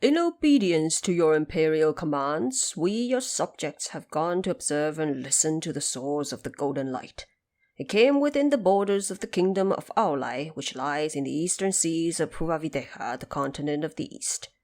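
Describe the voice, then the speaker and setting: A dutiful general of heaven, played by a woman narrator, gives a formal report to his ruler in a mythic audio drama. The voice is slightly low, deferential and orderly. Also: animated, normal speed, a little mellow.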